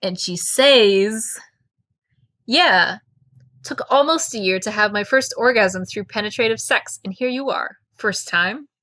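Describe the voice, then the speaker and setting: A woman's voice: medium pitch, measured pace, sharp tone. A woman hosting a podcast, discussing a book casually and reading out quotes with an opinionated, analytical manner. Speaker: podcast host.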